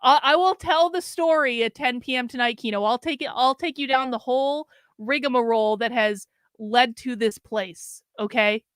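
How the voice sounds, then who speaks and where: Chatty woman host, slightly hoarse. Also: a little high, moderate pace, a bit bright, dry recording, clean studio sound. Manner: chatty, rambling, wry asides to the audience. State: losing her voice. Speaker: livestream host. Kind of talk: casual livestream chat.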